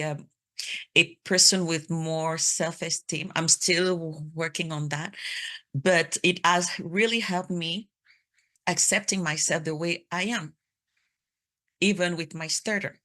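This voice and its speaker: Thirties woman from Cameroon, heavy stutter with restarts, lowish pitch, bright forceful tone. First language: French. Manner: stutters with repeated sounds and restarts. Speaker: speaker sharing her story. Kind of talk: personal testimony talk.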